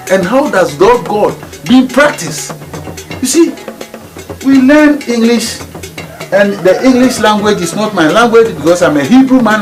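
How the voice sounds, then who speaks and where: A man's voice: very high voice, poor audio. A man with a Ghanaian accent giving a spiritual teaching, emphatic and repetitive, driving each point home by restating it. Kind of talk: preaching lecture.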